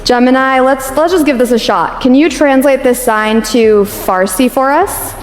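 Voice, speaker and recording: Higher-voiced woman presenting in an echoey hall. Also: moderate pace. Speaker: product demo presenter. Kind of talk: live stage demonstration, conversational. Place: stage before an audience. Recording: echoey hall with reverb, faint music.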